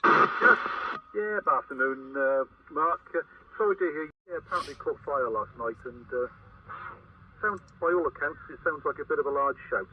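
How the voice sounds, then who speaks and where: A man's voice: fairly high pitch, moderate pace, dull audio, noisy background. A man operating CB radio, chatting casually and making calls in a hesitant, conversational way with radio phrases. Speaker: CB radio operator, net helper.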